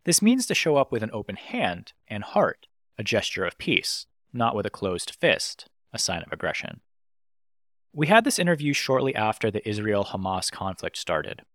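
A man's voice: lowish voice, normal speed, no echo, clean studio sound. A man hosting a podcast, reading a scripted intro and then chatting playfully with his guest. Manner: animated, playful delivery. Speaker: podcast host.

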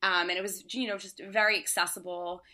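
A young woman, a DJ and producer from Australia and Singapore, chatting casually as an interview guest, relaxed with frequent laughs and fillers. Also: medium pitch, fairly quick.

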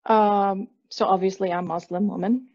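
A woman's voice: mid-range voice, steady tone, moderate pace, darkish tone, dry recording, muffled recording. A woman from Egypt in her thirties, a guest speaking hesitantly with many fillers and restarts. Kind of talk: conversational interview.

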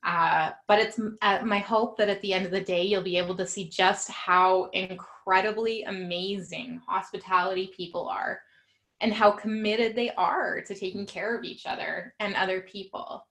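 A young woman hosts a podcast in a loose, friendly way, laughing often and filling pauses with ums and uhs. Her pitch sits right in the middle for a woman. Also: fairly even intonation. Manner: warm, laughs often, many fillers. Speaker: podcast host. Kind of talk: casual podcast interview.

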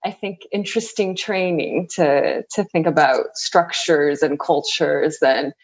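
A woman's voice: low-pitched, unhurried, slightly rough. A woman in her forties from Southern California answers interview questions in a wry, self-deprecating way. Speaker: guest.

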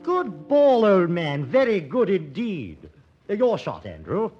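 An actor playing a jovial, snobbish, money-minded doctor, hearty and breezy in old radio drama style. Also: male voice, high voice, wildly swooping pitch, takes it slowly, faint music behind, heavily acted. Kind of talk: 1940s radio drama acting. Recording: dull, muffled old radio recording.

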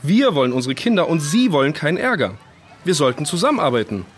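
A man's voice: fairly high pitch, big pitch swings, fast talker. A man playing a firm, diplomatic and dryly sarcastic foster father in a children's audio drama.

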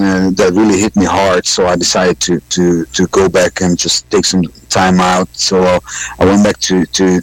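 Male musician, lower register, hesitant with frequent restarts, dry close sound. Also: dry recording, low-quality recording. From Hungary. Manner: halting, many fillers and restarts. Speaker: blues musician guest. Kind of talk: radio interview.

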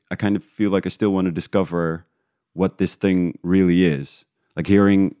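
A man from the Netherlands, talking casually in an interview, halting as he thinks aloud. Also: sits low, warm tone, smooth, no echo, clean studio sound. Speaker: guest, independent podcast creator.

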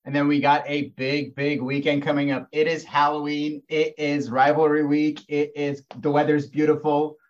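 A man's voice: a little high, monotone, normal speed, hardly any pauses. Young man, upbeat student podcast co-host, slightly dull lo-fi sound. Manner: upbeat, enthusiastic announcements. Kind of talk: casual student podcast hosting.

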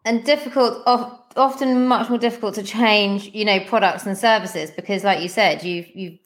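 A woman hosts a conversational interview with founders. She is chatty and encouraging, often turning her points into questions that invite agreement, and her voice sits at a middle pitch. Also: moderate pace.

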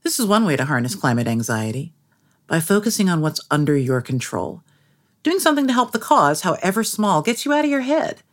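A woman's voice: fairly low pitch, very animated, fairly quick. A woman narrating a scripted documentary piece as its interviewer.

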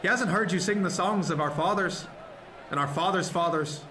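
A man's voice: sits high, moderate pace. An actor playing a defiant, commanding first mate in a radio drama, delivering earnest oratory to his crew. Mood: rousing. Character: defiant mutinous first mate, a commanding male ship's officer. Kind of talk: serial radio drama acting. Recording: noisy scene with loud crowd behind.

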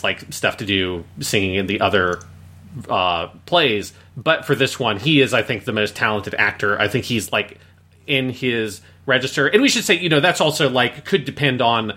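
A man's voice: medium pitch, bright, forceful tone. A man chatting casually as a podcast host, rambling through his opinions and leaning often on "like". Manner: rambling, frequent "like". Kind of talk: casual review chat.

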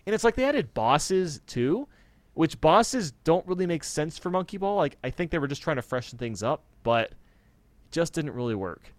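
A man's voice: on the high side, big pitch swings, moderate pace, dry recording. A man in his twenties talking casually and giving his opinions in a podcast conversation. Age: 20s.

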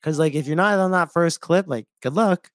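A man's voice: a little high, normal speed, dry recording, clean studio sound. A man hosting a casual podcast chat, easygoing and agreeable with plenty of slang. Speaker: podcast host.

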